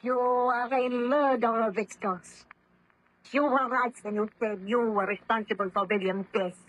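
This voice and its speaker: An actress playing an impassioned, reproachful young fiancee in a melodramatic, formal 1930s radio drama style. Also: female voice, fairly high pitch, steady tone, measured pace. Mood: bitterly accusing. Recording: muffled old radio drama recording.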